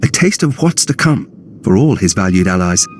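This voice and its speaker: A man's voice: sits low, very animated, moderate pace. A man playing a dutiful, formal junior military officer in a video game, eager to please. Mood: deferential. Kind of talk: video game character acting. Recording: dramatic music behind.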